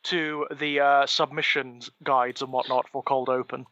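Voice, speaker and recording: A man's voice: mid-range voice, level tone, measured pace, pushed and bright, no room sound, highs cut off. A man co-hosting a podcast in casual chat, enthusiastic and given to emphatic repetition. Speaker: podcast co-host.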